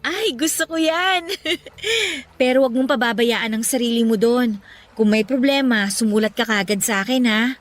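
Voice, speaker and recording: A woman playing a caring, level-headed young girlfriend in a Filipino radio romance, gentle and reassuring. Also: on the high side, moderate pace, faint music behind, natural voice. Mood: caring, fond. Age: young adult. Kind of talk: Tagalog radio romance drama acting.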